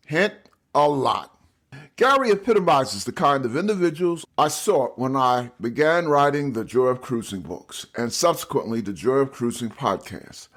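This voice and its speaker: Lively-voiced male podcast host. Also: mid-range voice. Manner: enthusiastic, scripted intro then hesitant with fillers. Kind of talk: interview podcast.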